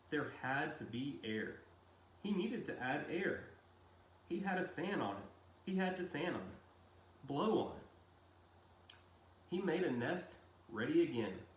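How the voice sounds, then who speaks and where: Slow-reading man in an echoey room. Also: fairly high pitch. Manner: deliberate, dramatic phrasing with pauses. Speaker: audiobook-style reader. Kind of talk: expressive storybook narration of a novel. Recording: roomy echo with faint hiss.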